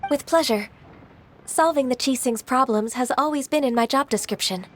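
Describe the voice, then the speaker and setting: An actress playing a gentle, modest young secretary and mentor in an anime game, polite and composed. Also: female voice, high-pitched, moderate pace, noisy background, lightly acted. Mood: modest, helpful. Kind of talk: anime game character dialogue.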